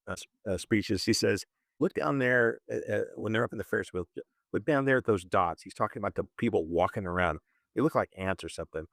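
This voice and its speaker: A man discussing films conversationally as a podcast host, in a halting, self-correcting way. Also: lowish voice, creaky, dry recording, clean studio sound. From moved to Zambia at eleven, schooled in Kenya.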